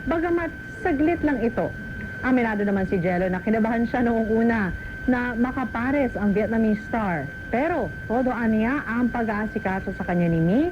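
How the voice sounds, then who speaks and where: A woman's voice: a little high, noisy background. A Filipino woman reading scripted entertainment news as a presenter, heard on a radio broadcast taped to cassette. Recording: dull radio on cassette, loud music.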